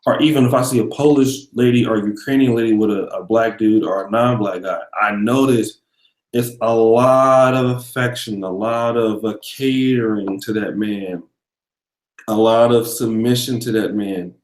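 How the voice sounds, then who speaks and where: A man hosting a casual video interview, asking long questions with many pauses and fillers. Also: lowish voice, reverberant. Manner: thanks viewers mid-question, hesitant fillers. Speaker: host.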